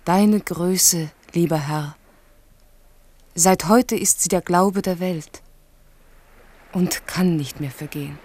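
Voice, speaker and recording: An actress playing a devoted, proud young noblewoman, a king's mistress, in a radio drama with formal, deliberate diction. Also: fairly low pitch, darkish tone. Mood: hushed devotion. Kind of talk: 1970s German radio drama acting.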